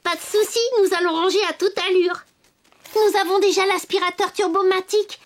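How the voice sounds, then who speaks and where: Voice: very high-pitched, steady tone, fairly quick. A performer voicing a cheerful, childlike little wooden toy boy, eager to help, in a French children's cartoon dub.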